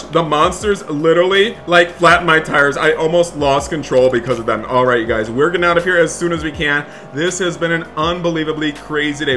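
A man doing excitable, melodramatic roleplay gameplay commentary as a gaming video host, full of repeated exclamations. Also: mid-range voice. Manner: breathless, melodramatic, repetitive exclamations.

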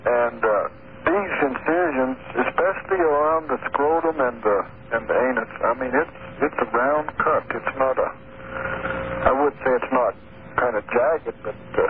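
A man's voice: medium pitch, normal speed. A rancher in his forties talking plainly and emphatically in a rambling way, with a chuckle now and then. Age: 40s. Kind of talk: recorded field interview. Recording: tinny phone-like radio recording with hiss.

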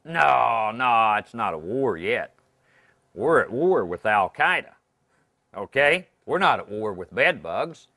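A man's voice: medium pitch, very animated, slow, pushed and bright. A middle-aged man, an exterminator, explaining emphatically and often checking in with the listener. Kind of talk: interview and on-camera demonstration. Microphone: lapel. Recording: clear music behind the voice.

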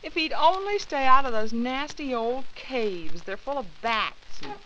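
An actress playing a worried, squeamish, fretful wife in a 1940s radio drama. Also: female voice, sits high, animated, unhurried. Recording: muffled, hissy 1940s radio recording.

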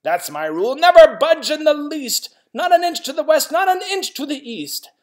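A man voicing a stubborn, hot-tempered male south-going Zax creature in a children's storybook, snapping and yelling defiantly. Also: high voice, huge pitch swings, slow, vocal fry, pitched above the performer's own voice, heavily acted.

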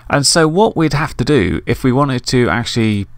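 A man's voice: medium pitch, lively intonation, moderate pace, dry recording. A middle-aged man narrating a casual tutorial in an informal, explanatory, conversational way. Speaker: host of an RC radio tutorial.

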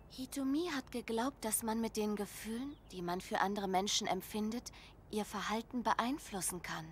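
A woman's voice: a little high, a bit bright, a little hiss or hum, natural voice. A woman playing a loyal, outspoken girl in an audio drama, talking in a direct, emotive way. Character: loyal, outspoken girl consoling her sad friend. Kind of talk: German audio drama acting. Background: music.

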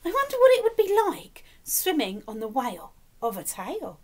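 A middle-aged woman reading a picture book aloud to young children, expressively and deliberately, prompting them with questions. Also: sits high, a little hiss or hum. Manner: animated, slow and deliberate, prompting young listeners. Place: indoors, small room with decorated wall.

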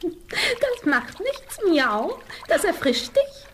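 A woman's voice: very high-pitched, sing-song, extremely slow, a bit bright, a little hiss or hum, exaggerated voice. A woman voicing a small, cheerful, fussing servant kitten that meows, in a fairy-tale radio play.

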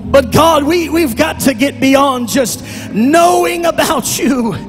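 A middle-aged man preaching on a church stage into a handheld mic, with a shouting, half-sung preacher cadence that drops to quiet prayer. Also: very high-pitched, takes it slowly. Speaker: pastor. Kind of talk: Pentecostal sermon and prayer. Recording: long church reverb, music behind.

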